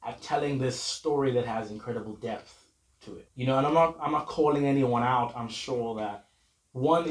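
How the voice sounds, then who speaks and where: A man's voice: medium pitch, darkish tone. A young man, slightly ill, talking earnestly to camera about books and their film adaptations. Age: young adult. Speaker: vlogger. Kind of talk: casual to-camera book talk. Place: room at home with bookshelf. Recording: roomy echo, mic at a distance, faint music.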